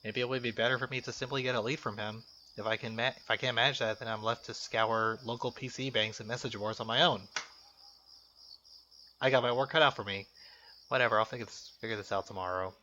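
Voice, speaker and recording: Man with bright, forceful voice, muffled mic. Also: medium pitch, steady tone, quick pace, slight background noise. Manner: jokey, reacting aloud to the game. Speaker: let's play host. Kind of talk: casual gaming commentary mixed with reading game text aloud. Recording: muffled lo-fi mic, music behind.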